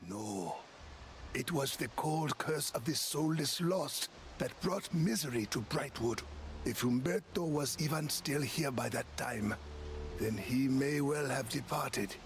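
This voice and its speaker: An actor playing a somber, long-lived lore-keeper in a video game, speaking in grave, formal, portentous phrases. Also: male voice, mid-range voice, measured pace, slight background noise, close to an everyday voice.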